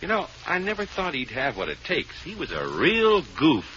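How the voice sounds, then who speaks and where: A jaunty ladies'-man bachelor in an old radio sitcom, full of wisecracks. His voice is very bright and sits fairly high, leaping all over the place in pitch. The old recording is dull and carries a faint hiss. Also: wildly swooping pitch, normal speed, heavily acted. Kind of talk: 1940s radio comedy acting.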